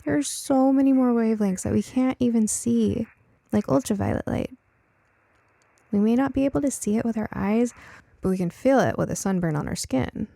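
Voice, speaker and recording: A woman voicing a curious, science-minded girl who narrates her own story in a conversational, expressive storybook style. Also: a little high, normal speed, creaky, dry recording, studio quality. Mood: explaining, eager. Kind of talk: first-person audiobook narration.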